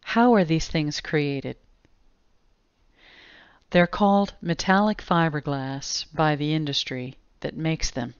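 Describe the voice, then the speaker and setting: A woman delivering scripted explanatory narration in a grave, deliberate manner. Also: deep voice, clear, resonant tone, no room sound. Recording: dull lo-fi close dry voice-over.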